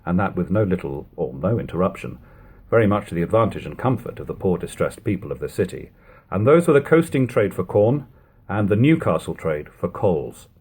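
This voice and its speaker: Male narrator for classic literature: lightly husky tone, animated intonation, measured storytelling delivery. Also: low-pitched. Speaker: audiobook reader. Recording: lo-fi home recording.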